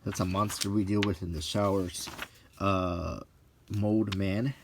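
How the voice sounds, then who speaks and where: A middle-aged man giving halting, offhand commentary as he leafs through books, listing items one by one with casual opinions. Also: low-pitched, flat delivery, a little hiss or hum. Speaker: presenter of a hobby video. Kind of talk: unscripted casual commentary while leafing through books, unintentional ASMR.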